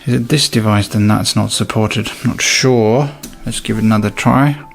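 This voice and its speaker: A man's voice: on the low side, normal speed, creaky, faint music behind. A man doing a casual, hands-on product review, thinking aloud informally as he demonstrates. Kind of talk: casual unboxing and review commentary.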